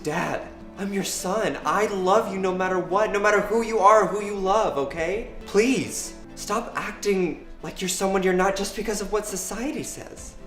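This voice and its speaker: A man's voice: sits high, fairly bright tone. Guy playing an earnest son in a melodrama, pleading and preachy, echoey room, music under it. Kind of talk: melodramatic web drama acting. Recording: roomy film dialogue under music.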